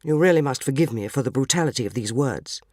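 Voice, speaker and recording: A woman voicing a gallant gentleman doctor in a story, formal, solemn and measured. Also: a bass voice, animated, dry recording, clean studio sound, voice pushed down. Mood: grave.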